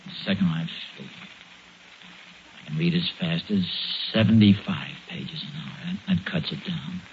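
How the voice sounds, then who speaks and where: Old 1940s sitcom dad, american, stubborn and hemming and hawing, pitch all over, dull slightly noisy radio sound. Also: male voice, big pitch swings, slow pace, vocal fry, heavily acted. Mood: pondering. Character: bumbling, stubborn suburban dad putting on a studious front. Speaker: sitcom lead. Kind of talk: 1940s radio comedy acting. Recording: muffled, noisy vintage radio broadcast.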